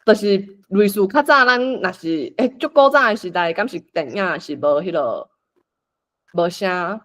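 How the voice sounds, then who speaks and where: Informal Taiwanese facilitator with very lively pitch. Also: a little slow. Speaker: workshop facilitator. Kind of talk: explaining and organising a group script reading.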